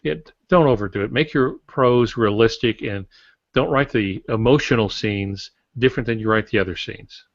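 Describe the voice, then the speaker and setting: A man's voice: medium pitch, normal speed, no echo. Older man, dull lo-fi call audio. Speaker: podcast co-host and writer. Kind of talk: casual instructional discussion. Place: small indoor home office. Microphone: video call.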